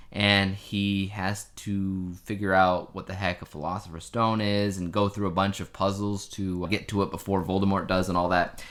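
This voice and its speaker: A man with a low-pitched voice talks casually as a podcast co-host. He lays out his opinions and explains them in an everyday, conversational style. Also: a bit bright. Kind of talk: casual chat.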